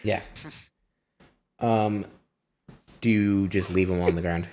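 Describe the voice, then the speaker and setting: Deep-voiced man running a tabletop game. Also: fairly even intonation, a little mellow. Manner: halting, conversational explaining. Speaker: game master. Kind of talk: narrating a tabletop game in casual chat.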